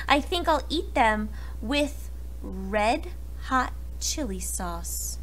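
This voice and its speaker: A woman's voice: a little high, lively intonation, extremely slow, a bit bright, slight background noise, exaggerated voice, rough recording. A woman voicing a sly, boastful, hungry male coyote villain in a children's storybook, drawing out theatrical threats. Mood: gloating.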